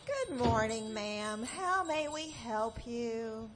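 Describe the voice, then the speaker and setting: An actress playing a dry, sardonic bookshop clerk who deals in puns in a live comedy sketch. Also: female voice, a little high, big pitch swings, takes it slowly, faint music behind.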